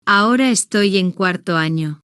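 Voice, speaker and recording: A woman narrates a beginner's story at a slow, deliberate pace, setting each short sentence apart. Her voice is smooth and well-rounded, with a mid-range pitch. The sound is close and dry, with no trace of the room. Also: a bit bright, no echo. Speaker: language-learning narrator.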